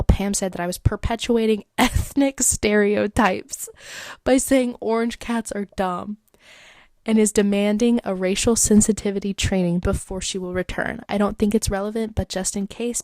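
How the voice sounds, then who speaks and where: Stuffed up woman rambling on her podcast, reading posts out loud, lots of likes, kinda gravelly and mellow. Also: medium pitch, dry recording. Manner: chatty and rambling, many likes and fillers. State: stuffed up, congested. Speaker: podcast host. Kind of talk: casual solo podcast, reading online advice posts aloud.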